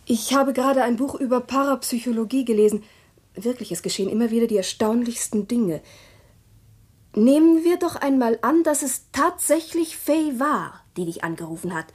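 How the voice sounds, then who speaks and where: Anxious wife who keeps asking, airy voice, a bit high. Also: female voice, lively intonation, moderate pace, a little mellow, husky. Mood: probing. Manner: questioning, insistent. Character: anxious, probing young wife. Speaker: wife Pamela. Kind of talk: German radio drama acting.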